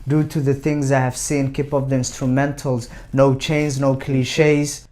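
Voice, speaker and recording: A man's voice: medium pitch, flat delivery, unhurried. A man performing rhythmic, declamatory spoken word poetry. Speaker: spoken word poet and show host. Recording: loud music behind.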